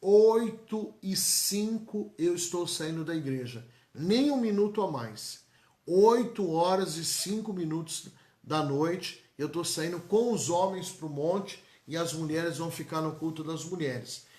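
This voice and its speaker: Echoey-voiced São pastor. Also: male voice, a little high, unhurried, a little mellow. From São Paulo, Brazil. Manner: emphatic and repetitive, folksy asides to viewers. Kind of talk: live-streamed church announcements and blessings. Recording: echoey church room.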